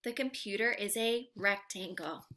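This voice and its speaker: A young woman reading aloud to small children like a teacher, asking simple questions in an animated, carefully enunciated way. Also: mid-range voice, measured pace. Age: young adult. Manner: animated, exaggerated, enunciated for little learners. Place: living room at home, indoors.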